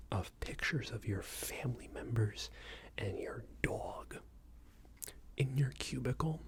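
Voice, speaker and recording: A man doing an ASMR roleplay as a passive-aggressive company boss, speaking in a soft, breathy whisper with hesitant, patronising phrasing. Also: medium pitch. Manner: hesitant, repetitive, patronising workplace talk. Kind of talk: ASMR roleplay, improvised monologue.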